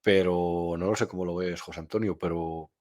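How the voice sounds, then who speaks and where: Opinionated man, low voice. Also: steady tone, moderate pace, a little mellow. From Spain. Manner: frank and opinionated, with frequent hesitations and restarts. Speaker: journalist and novelist guest. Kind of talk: conversational interview answers.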